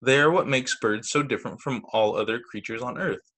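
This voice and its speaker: A man teaching young children through a read-aloud storytime on a video call, with expressive, deliberate phrasing. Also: medium pitch. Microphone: video call microphone.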